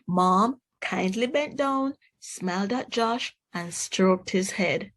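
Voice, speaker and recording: A woman reading a children's storybook aloud in a deliberate, expressive way, speaking directly to young listeners. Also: medium pitch.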